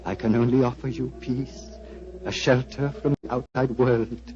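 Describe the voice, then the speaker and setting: An actor playing a gentle, wistful old monastery abbot, speaking in a solemn, fatherly, flowery manner of 1940s radio drama. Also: mid-range voice, fairly even intonation, a little slow, slight background noise, close to an everyday voice. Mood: wistful, tender. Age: older. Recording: dull, muffled 1940s radio recording.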